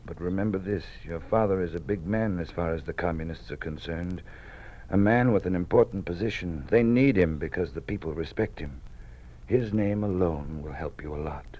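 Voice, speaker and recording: An actor playing a weary, cautious old college dean in an old-time radio drama, speaking in a measured, formal, fatherly manner. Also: sits low, lo-fi sound. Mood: cautioning, confiding. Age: older. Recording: noisy vintage radio drama recording.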